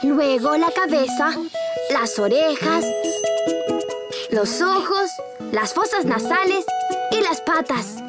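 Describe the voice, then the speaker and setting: A woman's voice: high voice, animated, slow, pauses often. An eager young cartoon boy. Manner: eager, explaining step by step. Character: eager young boy who draws animals. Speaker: voice actor. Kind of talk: Spanish-dubbed children's cartoon. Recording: music behind.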